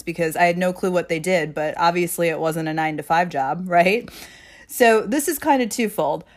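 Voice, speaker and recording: Solo podcast host, a woman with a cold: raspy, stuffed-up, slightly low voice. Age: 20s. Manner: pauses to catch breath.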